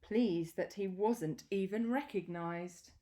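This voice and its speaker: Slow, mellow woman reading a storybook. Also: mid-range voice. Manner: deliberate, expressive storytelling with pauses. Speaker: teacher reading a picture book aloud. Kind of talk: children's storybook read-aloud.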